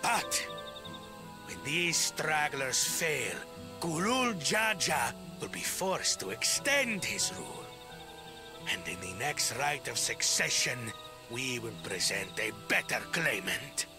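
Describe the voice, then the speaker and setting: Gravelly, domineering, scornful elder. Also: male voice, mid-range voice, very animated, slow pace, over music. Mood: menacing. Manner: archaic, theatrical speech swinging from silky to raging. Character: domineering, scornful father and elder, grandiose and cruel. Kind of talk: video game voice acting.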